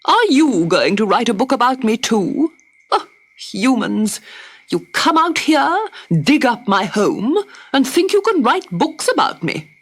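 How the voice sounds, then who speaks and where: A woman's voice: on the high side, sing-song, measured pace, slightly rough, voice pushed up, heavily acted. A woman voicing a haughty, pompous male platypus who lectures grandly and drawls with boredom. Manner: lofty, scolding, then bored and drawling. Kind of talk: storybook character voice.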